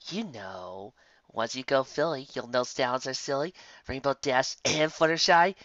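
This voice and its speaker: A narrator voicing a teasing, flirtatious and smug unicorn mare with a sly, drawn-out manner, on a slightly dull recording. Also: medium pitch, fairly bright tone, dry recording, lightly acted. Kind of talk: fan fiction character dialogue.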